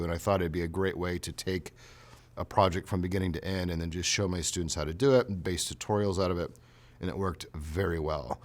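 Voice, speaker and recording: Male college instructor, deep voice, casual explanatory interview talk. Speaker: college teacher being interviewed.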